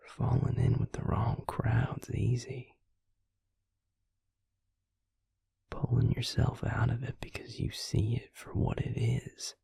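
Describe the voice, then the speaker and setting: Guy doing a whispery vampire dude for audio rp, super breathy, tender n regretful, takes his time, slow and halting. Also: mid-range voice, dark tone, no room sound, studio quality, full character voice. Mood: reassuring, earnest. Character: male vampire, protective, regretful, tender confidant. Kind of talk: intimate audio roleplay, soft whispered acting.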